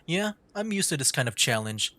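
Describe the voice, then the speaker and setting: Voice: mid-range voice, very animated, moderate pace, pushed and bright. A streamer giving casual gameplay commentary in a chatty way, reacting aloud while playing. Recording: music behind.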